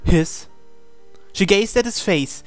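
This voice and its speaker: Higher-voiced man reading dramatic narration. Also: lively intonation, takes it slowly, faint music behind. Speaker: visual novel reader.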